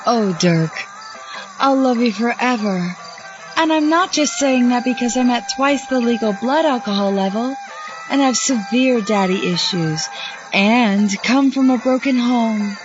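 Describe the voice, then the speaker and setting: Slow, dreamy girlfriend, acted by a woman. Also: on the high side, lightly acted. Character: dreamy, shameless young girlfriend. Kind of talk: parody of old teen-movie romance. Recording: muffled lo-fi with music behind.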